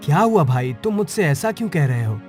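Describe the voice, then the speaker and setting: A man's voice: fairly high pitch, very animated, brisk, darkish tone, soft background music. A man voicing a humble village woodcutter in a cartoon moral story. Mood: bewildered. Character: humble village woodcutter, husband, hawking dry wood.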